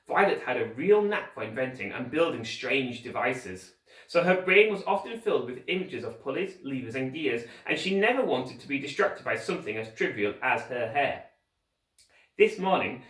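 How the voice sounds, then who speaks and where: Echoey, higher-voiced man reading a storybook. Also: a bit bright. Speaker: teacher reading to schoolchildren. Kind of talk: expressive storybook narration. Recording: echoey room, distant microphone.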